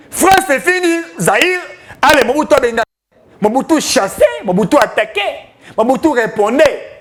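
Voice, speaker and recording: A man giving emphatic, declamatory political commentary, mixing French with Lingala. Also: sits high.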